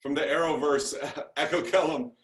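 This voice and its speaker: A man talking casually on a video call, in a halting, self-deprecating way. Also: on the high side, fairly even intonation, a bit bright. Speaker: TV series creator introducing his cast. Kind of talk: casual spoken interview. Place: indoor room on a video call. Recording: echoey room over video call.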